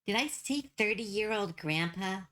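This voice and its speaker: A young adult woman streaming as she draws. She rambles and giggles as she thinks out loud, and her voice is going hoarse and tired. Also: mid-range voice, animated, a little slow. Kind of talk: casual chat while drawing.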